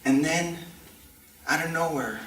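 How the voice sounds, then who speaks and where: A man telling a tall tale on stage in a theatrical way, with dramatic, deliberate pauses. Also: fairly high pitch, fairly bright tone. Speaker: contestant in a speech club storytelling contest. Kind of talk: theatrical tall-tale storytelling to a live audience. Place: indoor community meeting room. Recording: distant mic, roomy echo, faint noise.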